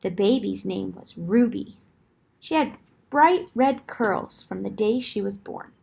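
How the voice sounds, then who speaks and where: A woman narrating a children's fairytale in an expressive storybook style, with deliberate dramatic emphasis. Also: mid-range voice, gravelly, slightly rough.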